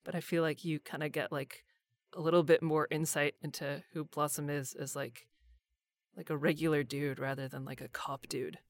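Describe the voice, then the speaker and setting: A woman's voice: low voice, steady tone, normal speed, no room sound. A woman who works as a voice actor, chatting casually in an informal, slangy way. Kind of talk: casual chat.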